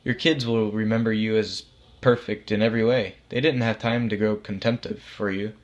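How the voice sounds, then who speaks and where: A man's voice: on the low side, steady tone, normal speed, muffled recording, close to an everyday voice. A man voicing God in a story as a casual, reassuring authority figure who explains things patiently and matter-of-factly. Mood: calm, reassuring. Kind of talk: acted storybook dialogue.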